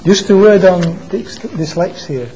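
A man preaching an informal sermon into a microphone at a church meeting, in a quiet voice that stalls and restarts on words. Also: sits high, animated, a little hiss or hum, rough recording. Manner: halting, repeats and restarts words. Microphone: microphone being adjusted.

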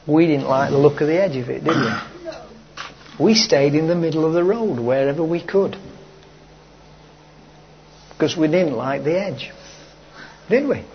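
A man preaches to his congregation, voice fraying as he loses it. He takes his time and hammers lines home by repeating them. The tape is dull and lo-fi, with a faint hiss. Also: medium pitch. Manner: slow, emphatic, repeats key phrases. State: losing his voice. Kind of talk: sermon. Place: church hall with a congregation.

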